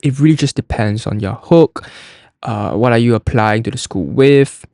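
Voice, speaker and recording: A young man talks through an application form like a casual explainer. His voice sits at a middling pitch. He is chatty and loose, often restarting and leaning on "like", and the recording is dry and close. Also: moderate pace, a little mellow, no echo. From Singapore. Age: young adult. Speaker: college admissions podcast host.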